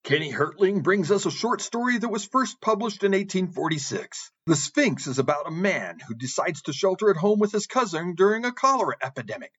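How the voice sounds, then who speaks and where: A man playing a high, husky spooky show host. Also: fairly bright tone, full character voice. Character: spooky, wry horror-show emcee of fright and delight. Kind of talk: theatrical horror-show introduction. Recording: dull lo-fi sound.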